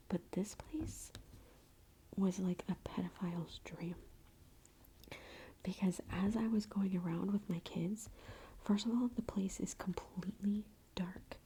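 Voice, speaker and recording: A woman's voice: mid-range voice, dark tone, a little hiss or hum, low-quality recording. A woman in her thirties whispering a chatty ASMR storytime, with lots of "like".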